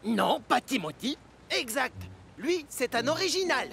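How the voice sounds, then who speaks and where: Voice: high-pitched, big pitch swings, unhurried, gravelly, a bit bright, exaggerated voice. An actor voicing mischievous, boyish twin tank engines in a French cartoon dub, teasing and boastful. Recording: music behind the voice.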